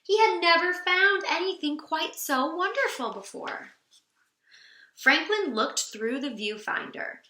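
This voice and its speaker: Bright, animated woman reading a storybook. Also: very high voice, measured pace, reverberant, rough recording. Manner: animated, expressive storytelling for young children. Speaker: speech teacher reading aloud to children. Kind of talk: expressive children's storybook read-aloud.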